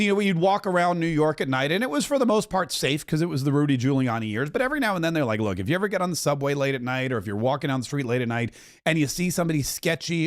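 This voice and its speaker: Emphatic fairly high-voiced male commentator. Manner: emphatic, rambling, breaks into loud singing. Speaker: political commentary podcast host. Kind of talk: animated political commentary.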